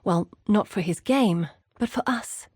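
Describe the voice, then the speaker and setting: An earnest, guarded female guide from a fantasy video game. Her mid-pitched voice is measured and articulate, each line delivered seriously. The recording is dry and close, with no room around it. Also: a little mellow, husky, no echo. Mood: wry, fond. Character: earnest, guarded woman, mapmaker guiding an exile through dangers. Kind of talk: scripted video game character dialogue.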